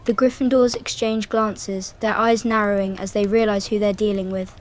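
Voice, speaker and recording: A woman's voice: medium pitch, level tone, normal speed, darkish tone. A woman reading scripted third-person story narration with lines of dialogue.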